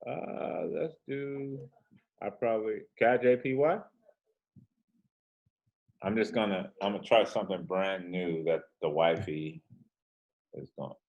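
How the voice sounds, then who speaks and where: A man's voice: fairly low pitch, unhurried, pauses often, narrow, tinny audio. A man with a soothing voice casually walking through trading charts, laid-back and slangy with rambling asides. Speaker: forex trading host.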